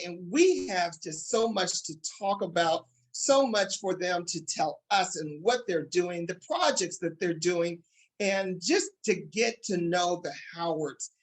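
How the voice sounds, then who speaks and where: A man hosting a talk show in an upbeat, excited and emphatic way, addressing his audience directly. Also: sits high.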